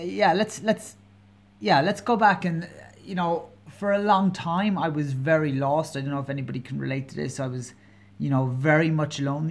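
A man of forty with a Kerry Irish accent, hosting his own podcast alone and talking in an earnest, halting way with many pauses and fillers. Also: a little high, vocal fry. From Ireland. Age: 40s. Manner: candid, openly personal. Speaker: podcast host. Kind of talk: solo podcast monologue.

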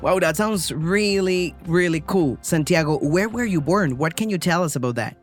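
Upbeat man hosting, higher voice. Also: expressive, moderate pace, faint music behind. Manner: upbeat and enthusiastic. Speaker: podcast host and English teacher. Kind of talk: hosting an interview.

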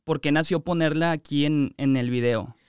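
A man's voice: fairly high pitch, normal speed, smooth, dry recording, studio quality. A man with a Mexican accent hosting a casual interview in a chatty, conversational way. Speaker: interviewer, host. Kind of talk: casual chat.

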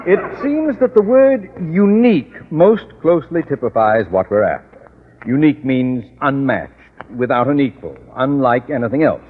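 A man's voice: mid-range voice, very animated, normal speed. An American man playing a pompous, self-important middle-aged boss and father in old radio comedy. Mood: pompous, pleased. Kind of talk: 1940s-50s radio comedy acting. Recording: noisy, muffled old radio recording with audience.

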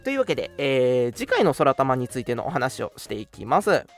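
A man's voice: medium pitch, big pitch swings, fairly quick, soft background music. A man hosting a casual radio talk show, making announcements in polite phrasing mixed with friendly banter. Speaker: radio show host and scriptwriter.

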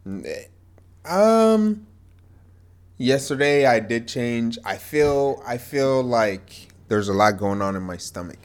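A man's voice: mid-range voice, big pitch swings, takes it slowly, clear, resonant tone. A man co-hosting a podcast in casual chat, sounding congested and stuffed up, joking and teasing. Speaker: podcast co-host.

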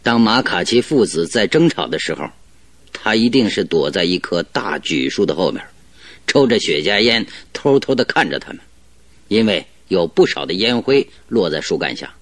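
An actor playing a confident, cheerful detective in a radio drama, explaining things in an animated, self-assured, didactic way. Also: male voice, low-pitched, moderate pace, dry recording, heavily acted. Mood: assured deducing. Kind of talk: Chinese radio drama acting.

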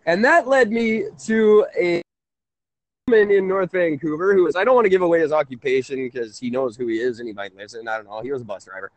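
A man hosting a conversational call-in radio show, halting and often interrupting himself. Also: fairly high pitch, dry recording, poor audio. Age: 30s.

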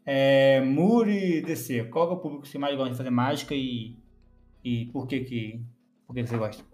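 A young Brazilian man hosting a casual chat about magic, blunt and quick to swear. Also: mid-range voice, a little mellow, soft background music. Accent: Brazilian Portuguese. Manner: blunt, rapid, swears freely. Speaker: podcast host.

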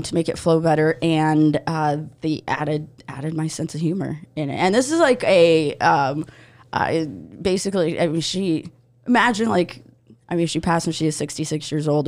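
A woman's voice: low voice, a little mellow. A woman in her thirties from Ukraine, a comedian guest telling stories in a podcast studio, chatty with lots of fillers and laughs. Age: 30s. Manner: chatty, laughs often, many fillers and restarts. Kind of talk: casual storytelling chat.

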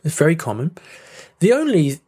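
A man's voice: fairly high pitch, huge pitch swings, normal speed, mellow, slightly rough. A man hosting a solo podcast, talking casually with many fillers, restarts and small laughs. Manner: chatty, self-interrupting with fillers and laughs. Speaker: podcast host.

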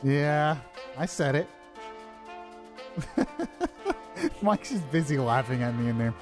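A man's voice: medium pitch, expressive, slow, music in the background. A man filling in as host of a morning radio show, chatting casually and laughing often. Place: radio studio. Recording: dry studio mic.

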